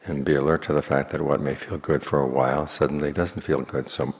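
A man speaking as a Buddhist monk, leading a guided meditation in slow, measured phrases with long pauses. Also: rumbling low, steady tone. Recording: long hall reverb.

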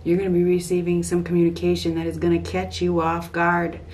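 A middle-aged woman giving an improvised tarot reading to camera in a small room at home, halting and repeating phrases as she thinks aloud. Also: fairly low pitch. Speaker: tarot card reader. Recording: clear steady background room noise.

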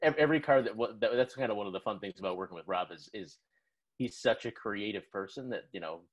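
A man's voice: on the low side, fast talker, muffled recording. A man in his forties, a guest in a casual interview, answering in a friendly, agreeable way. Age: 40s.

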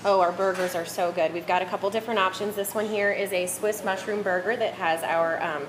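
Female, American, medium pitch, agreeable casual interviewee, noticeable cafe background. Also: steady tone, a little fast. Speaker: cafe co-owner, interview guest. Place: small cafe, indoors. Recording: clear cafe background noise.

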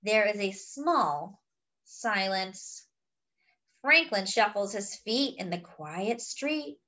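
A woman's voice: medium pitch, expressive, slow pace, bright, forceful tone. A woman reading a picture book aloud expressively, with chatty, wondering asides to young listeners. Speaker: children's story reader.

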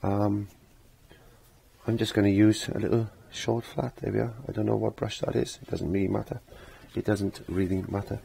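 Croaky, low-voiced man teaching painting. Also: flat delivery, normal speed, mellow, a little hiss or hum. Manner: rambling, self-talking, repeats phrases. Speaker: painting instructor. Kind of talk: casual step-by-step painting demonstration. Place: indoor home art studio.

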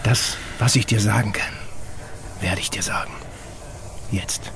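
An actor playing a secretive, protective middle-aged police agent in an audio drama, terse, hushed and insistent. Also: male voice, lowish voice, steady tone, a little slow. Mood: grave, terse. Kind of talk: German audio drama acting. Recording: clear background noise.

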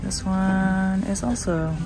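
A woman's voice: mid-range voice, slow pace, heavy background noise. A young woman vlogging casually while browsing a large store, chatting and murmuring to herself as she films on a handheld phone. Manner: chatty, soft-spoken, often murmuring to herself. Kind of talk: casual shop-along commentary. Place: large retail store indoors. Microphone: handheld phone camera. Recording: loud music under the voice. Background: loud background music.

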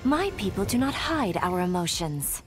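A woman's voice: mid-range voice, big pitch swings, normal speed, natural voice. A woman voicing a proud, fiery alien warrior princess in a video game. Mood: proud challenge. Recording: loud music behind the voice.

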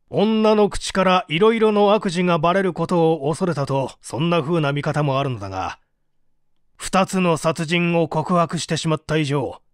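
A man's voice: a little high, dry recording, studio quality, close to an everyday voice. A man playing a stern, relentless prosecutor with an authoritative, pressing manner in a dramatised mystery reading. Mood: probing, measured. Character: stern male prosecutor, relentless, accusing interrogator. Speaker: audiobook reader.

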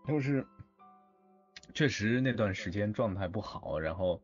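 A man with a low voice talks casually and haltingly in short pieces. The recording is dull and lo-fi, like a voice chat, with music behind. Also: animated. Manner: hesitant, halting. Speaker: voice actor in an audio drama.

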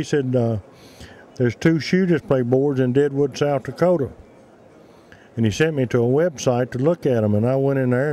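An older man giving unhurried, folksy answers in an interview, telling stories into a handheld microphone. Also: medium pitch, mellow. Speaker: museum representative interviewed. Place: large indoor trade show hall.

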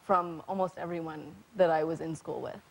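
Soft-spoken hesitant young woman. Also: low-pitched, fairly even intonation, normal speed, breathy edge, poor audio. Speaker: guest. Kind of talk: interview answers. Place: talk show studio.

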